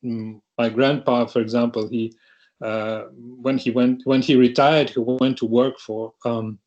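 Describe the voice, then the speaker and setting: A man's voice: mid-range voice, flat delivery, a little mellow, lo-fi sound. A middle-aged man from Russia, speaking hesitantly on a panel over a video call. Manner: hesitant, halting, many restarts. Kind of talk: online panel talk.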